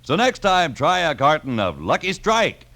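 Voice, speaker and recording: A man's voice: a little high, huge pitch swings, normal speed, bright, forceful tone. A man reading a 1950s radio commercial as an announcer, in a salesman's scripted style.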